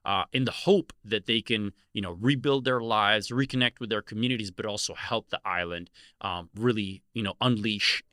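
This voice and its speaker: A middle-aged man co-hosting a podcast, talking in a relaxed, earnest way with many fillers. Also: fairly low pitch, normal speed, no room sound, studio quality. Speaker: podcast co-host. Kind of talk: casual podcast discussion.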